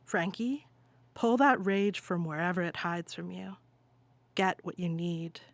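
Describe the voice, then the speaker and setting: A playwright voicing a gentle, resolute figure from the afterlife in a solemn, deliberate audio play monologue. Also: mid-range voice, no room sound, close to an everyday voice. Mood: solemn, urgent. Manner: solemn, deliberate, incantatory address. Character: Francis, gentle resolute spirit-visitor from the afterlife, pleading.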